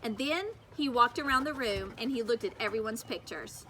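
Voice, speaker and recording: Female teacher for story read-aloud: high, bright voice, expressive narration, some background noise. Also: normal speed, poor audio.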